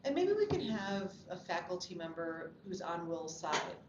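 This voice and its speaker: Low-voiced woman in a noisy, echoey room. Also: sing-song, moderate pace, dull audio. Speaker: table read participant giving script feedback. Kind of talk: casual group discussion.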